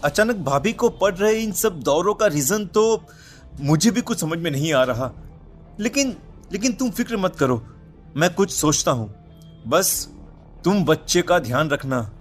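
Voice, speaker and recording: A man's voice: fairly high pitch, animated, a little slow, soft background music. Man acting a reassuring psychologist friend. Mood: puzzled, soothing. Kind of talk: Hindi animated horror story acting.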